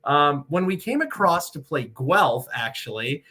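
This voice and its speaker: A man's voice: fairly high pitch, animated, measured pace, sharp tone, slightly rough. An American man, a sportscaster from Michigan, talking casually and at length as an interview guest. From Michigan, United States. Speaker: sportscaster, radio play-by-play broadcaster, interview guest.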